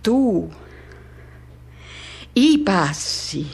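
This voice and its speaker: A woman's voice: mid-range voice, sing-song, drawn-out words, gravelly, darkish tone, slightly rough, full character voice. An actress playing a man who recalls his first teenage love in a radio theatre monologue, in fragmented, repetitive, poetic phrases. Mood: tense, remembering.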